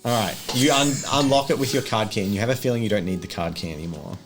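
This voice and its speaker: A man's voice: on the low side, fast talker. A man working as game master, narrating a tabletop roleplaying game and explaining rules in a casual, improvised way. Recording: loud music behind the voice. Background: music.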